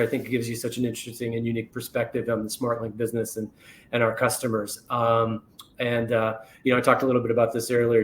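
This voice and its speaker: Man interviewing in an echoey room. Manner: filler-heavy, loose phrasing. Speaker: company CEO, interviewer. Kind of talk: hosted interview. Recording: echoey room with faint rumble.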